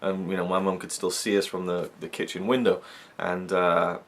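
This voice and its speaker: Brief: young man from Wales, deep voice, rambling chatty vlogger. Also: level tone, moderate pace, a bit bright. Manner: rambling, chatty, many fillers. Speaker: YouTube reviewer. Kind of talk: casual chat to camera.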